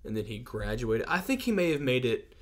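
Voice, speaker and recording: A young man co-hosting an easygoing podcast, his pitch rising and falling a lot as he talks. He sounds relaxed, keeps jumping in, and swears casually. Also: fairly quick. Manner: laid-back, swears casually, chimes in. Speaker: podcast co-host.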